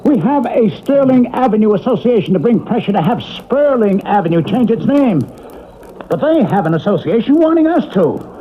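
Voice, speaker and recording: An indignant, stubborn suburban husband in an old radio drama. His high voice swings widely as he protests and argues with emphasis. The sound is muffled and dull, like a vintage broadcast. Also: very animated, moderate pace, slight background noise.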